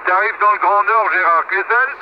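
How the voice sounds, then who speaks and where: High-pitched man over crackly shortwave radio. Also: steady tone, a little fast, muffled recording. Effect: shortwave single-sideband radio. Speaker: amateur radio operator. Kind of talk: casual radio chat.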